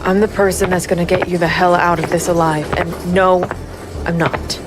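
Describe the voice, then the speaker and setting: A woman's voice: on the low side, level tone, normal speed, over loud music, lightly acted. A woman playing a cold, composed vigilante investigator, narrating a gritty noir crime audio drama in a controlled, stern way. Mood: determined, sombre. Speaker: narrator and lead character.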